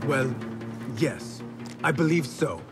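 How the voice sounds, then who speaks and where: An actor playing an earnest, eager young apprentice assassin in a video game, with a respectful, formal manner. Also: male voice, mid-range voice, lively intonation, takes it slowly, music in the background. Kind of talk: dramatic video game acting.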